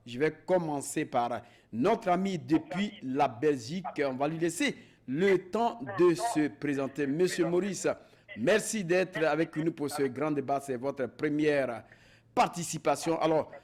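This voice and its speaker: A man's voice: a little high, a little mellow. A man hosting a panel debate, introducing guests with many hesitations. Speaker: talk show host.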